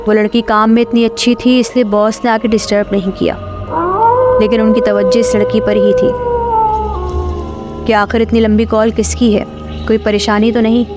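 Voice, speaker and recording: A woman's voice: a little high, level tone, normal speed, long pauses. A woman reading a scripted horror story as its narrator. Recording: clear music bed under voice.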